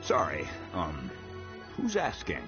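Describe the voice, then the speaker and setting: A humble, good-natured village blacksmith in a video game. He sounds very deep and lively, folksy and a little hesitant. The audio is slightly dull, with music playing behind. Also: slow pace, full character voice. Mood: affable, musing. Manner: folksy, hesitant, chuckling self-deprecation. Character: affable, humble male blacksmith, a bit slow to catch on.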